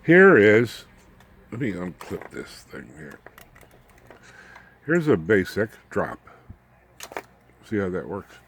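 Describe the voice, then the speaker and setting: A man in his seventies with an American accent and a low-pitched voice talks off the cuff as a hobbyist showing his work in a home workshop. He rambles in a folksy, unscripted way. He often turns aside to the viewer with self-deprecating humour. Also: sing-song, slow, pauses often, slight background noise. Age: 70s. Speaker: ham radio hobbyist and video host. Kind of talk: casual unscripted workshop demonstration.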